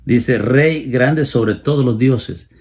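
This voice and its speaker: A man's voice: mid-range voice, slow, darkish tone, slightly rough. A man in his late fifties with a Salvadoran accent, preaching a sermon and explaining scripture, repeating phrases for emphasis. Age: late 50s. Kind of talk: sermon, Bible exposition.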